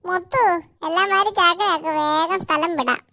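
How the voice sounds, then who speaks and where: Scheming cartoon bandit, male, bossy and exclamatory, very high-pitched, acted by a storyteller. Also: fairly even intonation, normal speed, pushed and bright, full character voice. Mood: urgent, bossy. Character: scheming male cartoon robber, boisterous and greedy.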